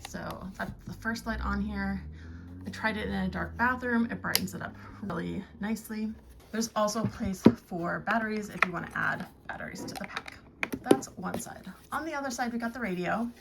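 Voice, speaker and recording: A woman's voice: medium pitch, expressive, normal speed. A woman giving a casual, plain-spoken product review, explaining features as she shows them. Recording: clear background noise, handling clicks.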